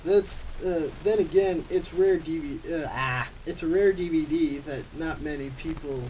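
A man playing a slow-witted, defensive male sloth who stumbles through his words in an amateur audio comedy. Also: a little high, a little mellow, natural voice. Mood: hesitant. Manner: halting, stumbling over lines. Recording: distant mic, clearly noisy hiss.

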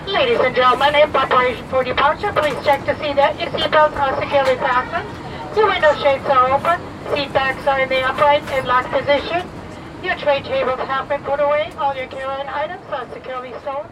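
A woman's voice: sits high, steady tone, fairly quick, a crowd in the background, lightly acted. A woman playing a flight attendant giving a formal, scripted pre-departure cabin announcement. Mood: routine, formal. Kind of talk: scripted airline safety announcement. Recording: distant voice in noisy cabin ambience.

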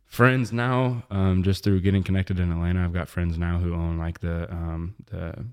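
A young man from Missouri, a guest on a faith podcast, talking in a relaxed, rambling way with lots of fillers and restarts. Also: sits low, moderate pace, clear, resonant tone. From southwest Missouri. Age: young adult. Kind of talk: casual faith podcast interview.

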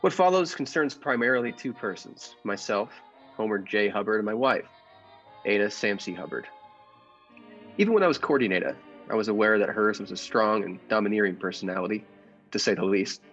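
A man playing a meek, henpecked husband who narrates his secret escape in measured, formal old-time radio style. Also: on the low side, lively intonation, fairly bright tone, highs cut off, faint music behind. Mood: brooding, confiding.

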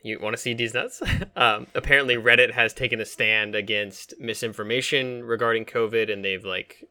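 A man's voice: mid-range voice, fairly even intonation, normal speed, pushed and bright, clean tone. A man co-hosting a podcast, chatting in a casual, joking and rambling way with some swearing. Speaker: podcast co-host. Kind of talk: casual comedic chat.

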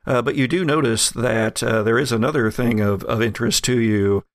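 A man narrating as game master of a tabletop game in a relaxed, rambling way. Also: medium pitch, brisk, no room sound. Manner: relaxed, rambling, with many fillers.

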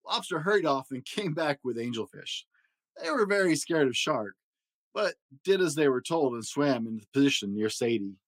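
A man narrating a children's picture book in an expressive, dramatic storytelling manner. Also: medium pitch, moderate pace, no echo. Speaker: story reader.

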